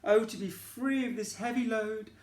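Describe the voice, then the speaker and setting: A man's voice: high-pitched, fairly even intonation, moderate pace, a little mellow, reverberant, voice pushed up, lightly acted. A man voicing a restless, longing male rocking horse in a picture-book reading, with a sing-song, wishful delivery. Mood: wistful.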